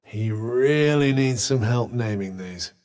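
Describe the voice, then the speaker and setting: A man's voice: mid-range voice, slow, darkish tone, natural voice. A man with a British accent voicing a haughty, sardonic talking magical object in a video game, with a dry, condescending and theatrical manner. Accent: British English. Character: sardonic, haughty, well-spoken talking magical cuff, male. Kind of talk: video game character dialogue.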